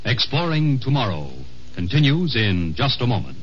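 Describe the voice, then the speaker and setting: A man's voice: medium pitch, big pitch swings, normal speed, over music. A man reading scripted 1950s radio announcements. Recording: muffled old radio recording.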